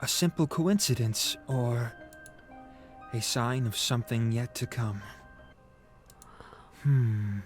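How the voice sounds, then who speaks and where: A man's voice: medium pitch, slow, long pauses, lightly acted. An actor playing a solemn, brooding male earth spirit in a visual novel, with a grave, musing delivery. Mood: pensive, foreboding. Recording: music behind the voice. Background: game music.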